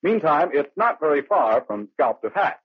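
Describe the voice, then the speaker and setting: Male vintage radio announcer, sponsor read. Animated, very husky, middle pitch; dry, tinny old recording. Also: normal speed, no room sound.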